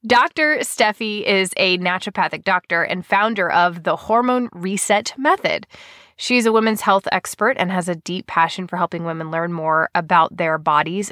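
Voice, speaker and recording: A woman's voice: lowish voice, fairly bright tone, no room sound. An eager woman hosts a podcast in an easy, chatty way. She sounds a little nasal and stuffed up from a cold. Her voice is close and dry, with almost no room sound. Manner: upbeat and eager. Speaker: podcast host. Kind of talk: casual podcast chat.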